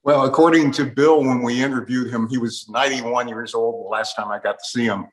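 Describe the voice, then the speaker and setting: A man's voice: medium pitch, steady tone, brisk, poor audio. A man hosting an interview, putting questions to guests and filling in background. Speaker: interviewer, host.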